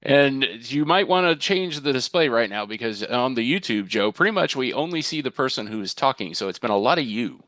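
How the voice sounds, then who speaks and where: A man's voice: mid-range voice, expressive, a little fast, hardly any pauses, a bit bright, dry recording. A man chatting casually on a video call in a wry, teasing manner. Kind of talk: casual chat. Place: dry-sounding room, on a video call.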